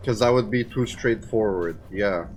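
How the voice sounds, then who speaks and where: A man's voice: lowish voice, normal speed, a little hiss or hum. A man with a lisp giving casual, wryly sarcastic commentary as he plays a video game for a live chat. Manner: wry, sarcastic grumbling.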